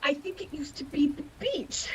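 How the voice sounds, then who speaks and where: A woman playing a calm, haunted mother in a radio play, soothing, earnest and cryptic, stubborn in what she believes. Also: high-pitched, normal speed, slight background noise. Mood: resigned, gentle.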